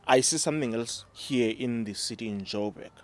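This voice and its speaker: Measured South African father, Xhosa native. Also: mid-range voice. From Eastern Cape, South Africa. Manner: measured, reflective, checks in with "okay?". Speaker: discussion panelist, father. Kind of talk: casual reflective discussion.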